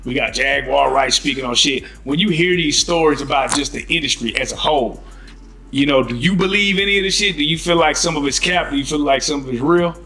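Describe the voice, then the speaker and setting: A man's voice: fairly high pitch, a little fast, vocal fry, pushed and bright, soft background music. A man hosting a casual, slangy, profane chat. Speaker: host.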